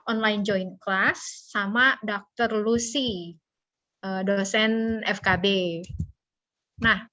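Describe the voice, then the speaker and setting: A woman with a bright, high voice leads a casual online speaking class at an unhurried pace. She is playful and colloquial, giving instructions to her students. The audio is slightly dull, like a video call. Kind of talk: informal interactive online lesson.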